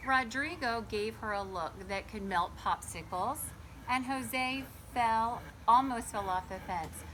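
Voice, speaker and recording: Higher-voiced woman reading to kids, noisy outdoors. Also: takes it slowly. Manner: animated, addresses young listeners with questions. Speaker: children's read-aloud host. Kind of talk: storybook read-aloud with chatty asides to children. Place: garden, sitting outdoors by a small table fort.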